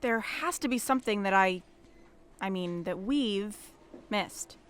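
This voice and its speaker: Woman as a wry detective, lively clear voice. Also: mid-range voice, unhurried, lightly acted. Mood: weary, preoccupied. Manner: dry, sarcastic, probing. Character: wry, weary female police detective questioning a suspect. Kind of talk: audio drama acting.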